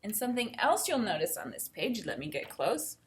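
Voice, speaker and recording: A woman teacher reading a storybook aloud and pausing to explain it simply to young learners. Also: mid-range voice, very animated. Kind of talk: read-aloud lesson with think-aloud commentary.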